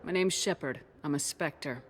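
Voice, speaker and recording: An actress playing a tough, no-nonsense military agent in a video game, brisk and authoritative. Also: female voice, low-pitched, a little hiss or hum, natural voice. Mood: firm.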